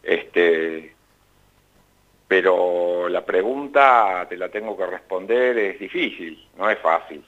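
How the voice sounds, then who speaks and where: A man's voice: low-pitched, animated, takes it slowly, a bit bright, lo-fi sound. A middle-aged Argentine man with an Argentine accent, a radio guest talking in a reflective, rambling way and laughing often. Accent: Argentine Spanish. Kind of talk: casual radio interview.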